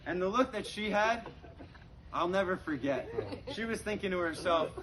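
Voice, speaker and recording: A man's voice: sits high, lively intonation, normal speed, a crowd in the background. A man performing stand-up comedy, telling stories conversationally to a laughing live audience and often saying "you know". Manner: conversational, frequent "you know", acts out dialogue. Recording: noisy live recording with room ambience.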